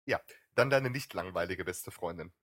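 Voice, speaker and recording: A man's voice: sits low, lively intonation, moderate pace, fairly bright tone, no room sound, clean studio sound. A man co-hosting a casual streamed podcast, teasing and needling his co-host.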